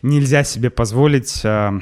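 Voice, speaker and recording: A man's voice: mid-range voice, normal speed, clear, resonant tone. A laid-back man with a St Petersburg accent co-hosts a casual video conversation. He drifts along with fillers and restarts and breaks into easy laughs. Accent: St Petersburg Russian. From St Petersburg, Russia. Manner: relaxed, chatty, many fillers and restarts, laughs easily. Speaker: video co-host. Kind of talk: casual chat.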